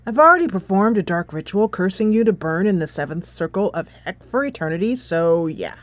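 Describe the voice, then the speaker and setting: A woman's voice: on the low side, very animated, brisk, no echo. A woman voicing a dramatic, menacing teenage goth girl in a game, haughty and theatrical. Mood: coolly menacing. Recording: soft music behind the voice.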